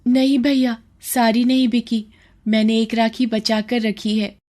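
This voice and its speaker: A woman's voice: a little high, flat delivery, a little slow, dark tone, pitched above the performer's own voice, natural voice. A woman voicing a poor, earnest young girl street seller in a cartoon story, with an eager, sweet, childlike manner. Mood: shy, earnest. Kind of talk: dramatised Hindi cartoon storytelling.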